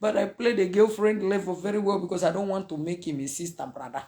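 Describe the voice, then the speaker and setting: A Zambian woman in her thirties speaks straight into a phone camera from a small indoor room. She talks in an animated, preachy way, breaking into laughter and slipping in pidgin phrases as she goes. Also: mid-range voice, fast talker. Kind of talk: casual preachy monologue to camera. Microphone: phone camera at arm's length.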